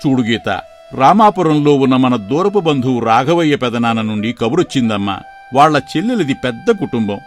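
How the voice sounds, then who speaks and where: A man voicing a kindly middle-aged father in an animated story, fond of his daughter and stern when he scolds. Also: mid-range voice, fairly quick, faint music behind, close to an everyday voice. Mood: gentle.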